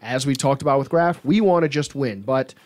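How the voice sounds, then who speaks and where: A man's voice: mid-range voice, a little fast, darkish tone. Jokey male sports podcast host. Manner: jokey, self-deprecating, colloquial. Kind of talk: casual sports chat.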